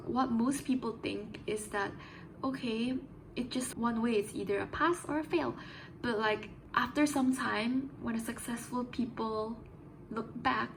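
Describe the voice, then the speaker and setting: A woman's voice: a little high, background noise. A young woman vlogging in a casual, chatty way, explaining things with lots of "like" fillers. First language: English. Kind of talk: casual vlog diary.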